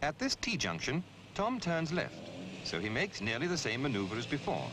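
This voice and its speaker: Male narrator for a vintage road safety film. Instructive, highly varied intonation, mid-range voice, very noisy old soundtrack with traffic. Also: huge pitch swings, normal speed. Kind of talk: scripted public information film narration.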